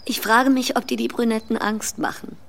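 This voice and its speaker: A woman's voice: on the high side, steady tone, normal speed, gravelly, a bit bright. An actress playing a seductive, bold married woman in a radio drama, intimate and coaxing. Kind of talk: German radio drama acting.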